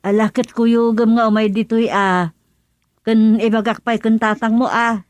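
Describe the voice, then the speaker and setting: A woman's voice: on the high side, fairly even intonation, a little slow, no room sound, lightly acted. An actress playing a practical, agreeable middle-aged village mother in a rural radio comedy drama. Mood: curious, agreeable.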